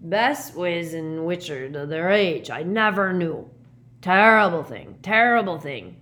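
A woman's voice: on the low side, expressive, unhurried. A woman voicing a huge, drunk, weepy man with rustic speech in a storybook. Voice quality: loud, grunting. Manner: maudlin, rustic dialect.

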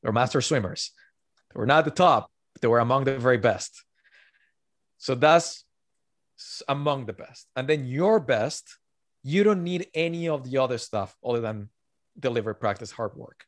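A man's voice: mid-range voice, lively intonation, normal speed, no room sound. Earnest man, dry close sound. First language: not English. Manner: earnest, emphatic, repeats key points. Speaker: author guest. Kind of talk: podcast interview.

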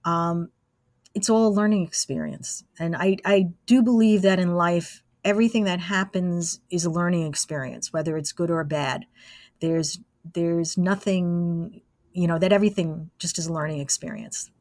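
Low-voiced woman in her sixties, reminiscing casually. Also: normal speed, a little mellow, dry recording, clean studio sound. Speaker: interviewee, radio station alumna.

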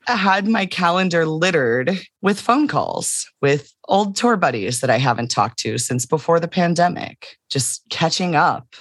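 A woman co-hosting a podcast as a coach, talking casually with a slightly rough voice. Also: on the low side, animated, a little slow, hardly any pauses, dry recording, clean studio sound. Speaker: podcast co-host and ADHD coach.

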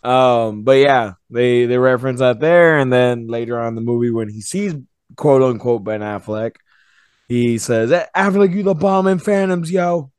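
A man with a dry, close-recorded voice hosts a casual movie review podcast. His voice is a little hoarse from wear, and he talks loosely, with some swearing. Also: medium pitch, moderate pace, darkish tone, no echo. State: hoarse, voice partly gone. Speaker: podcast host.

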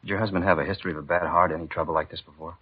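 An actor playing a courteous, low-key American police detective with a husky voice in a 1950s radio drama. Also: male voice, steady tone, rapid-fire. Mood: gentle, businesslike. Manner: brief, polite questions. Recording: dull 1950s radio recording.

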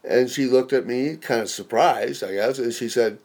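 A man's voice: medium pitch, normal speed, creaky, a little mellow. A man in his sixties chatting on a podcast, hesitant, stumbling and restarting his sentences. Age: 60s. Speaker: podcast co-host. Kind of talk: casual podcast chat.

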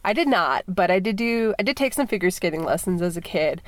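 A woman's voice: lowish voice, expressive, brisk, no room sound. A woman from Minnesota in her thirties, stuffy with a cold, hosting a podcast in a rambling, chatty way with lots of fillers. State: congested from a cold. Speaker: podcast host. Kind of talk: casual chat.

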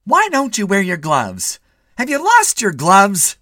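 A man's voice: wildly swooping pitch, measured pace, bright, forceful tone, dry recording, clean studio sound, full character voice. A man voicing a nagging middle-aged wife in a scolding, raised voice. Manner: scolding, high-pitched.

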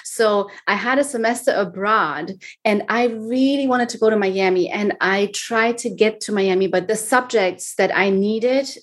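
A woman from Germany, a podcast guest who talks in an animated, excitable way with frequent restarts. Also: medium pitch, unhurried, few pauses. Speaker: guest, healer. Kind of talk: casual interview chat.

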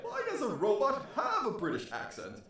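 A man's voice: very high-pitched, huge pitch swings, normal speed, a bit bright, heavily acted. A man playing a cocky, dim space captain who boasts and whines like a goofy kid in a comedic audio drama. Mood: bored, whiny.

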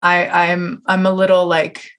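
A woman with a fairly low voice talks as a podcast host. Her delivery is casual and slangy, animated and informal, like chatting with a friend. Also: a little slow. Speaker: podcast host and tattoo artist. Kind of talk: casual interview chat.

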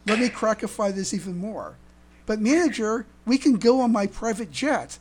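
A woman co-hosting a casual fan podcast, speaking haltingly and repeating words as they go. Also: fairly high pitch, expressive, darkish tone, slightly rough. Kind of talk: casual chat.

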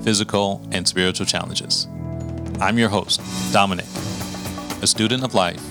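A man hosting a podcast, calmly introducing the show and his guest. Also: fairly low pitch, normal speed. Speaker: podcast host. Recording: music playing behind the voice.

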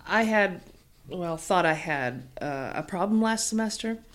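A woman's voice: on the low side, expressive, a little slow. An actress playing a friendly young female college student from Texas with a Texan accent, talking in a chatty, hesitant way. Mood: concerned.

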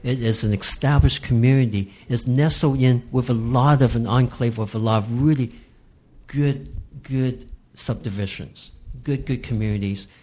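A man from Hong Kong, a real estate guest on a podcast, explaining market trends in a measured, emphatic way. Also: medium pitch, dark tone. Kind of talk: podcast interview.

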